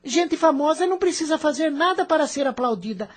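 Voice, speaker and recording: A woman's voice: sits high, animated, a little fast. A woman playing a rebellious, selfish teenage boy, an irritable dreamer with a curt, complaining way, in an audio drama. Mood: dreamy, explaining.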